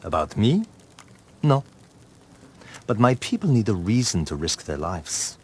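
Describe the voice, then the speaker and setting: A shrewd, protective hunter chief speaks in a very deep, gruff voice. His clipped, wry lines move widely in pitch, in dramatic game cutscene style over faint noise. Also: big pitch swings, a little slow. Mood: wry, guarded. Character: wary, rugged male hunter leader, shrewd and protective.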